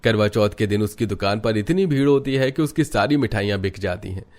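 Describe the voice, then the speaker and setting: Low-pitched man narrating a story. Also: brisk, darkish tone. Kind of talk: scripted narration of a moral cartoon story.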